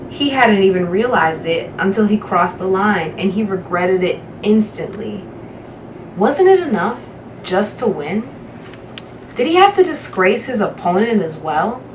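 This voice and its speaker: A woman reading a children's novel aloud with measured, dramatic phrasing. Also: mid-range voice, sing-song. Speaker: guest storybook reader. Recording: roomy, distant microphone, faint background noise.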